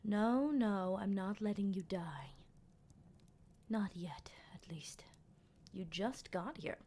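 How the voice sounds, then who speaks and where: A woman playing a teasing, sardonic female vampire captor in an ASMR roleplay, speaking in an unhurried, breathy half-whisper. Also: medium pitch, mellow, a little hiss or hum, close to an everyday voice. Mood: wry, toying. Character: playful, menacing female vampire captor, decades old.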